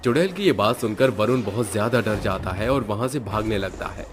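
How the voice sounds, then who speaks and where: A man's voice: lowish voice, lively intonation, a little fast. A man narrating a scripted horror story as its storyteller. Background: faint background music.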